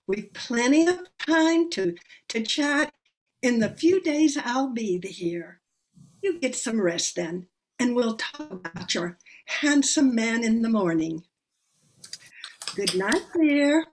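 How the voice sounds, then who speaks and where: Older woman as affectionate nosy aunt, radio drama, genteel, high-ish lively pitch, unhurried. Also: low-quality recording. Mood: fond, nosy. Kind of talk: old-time radio play acting. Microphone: video call. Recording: faint noise on video call.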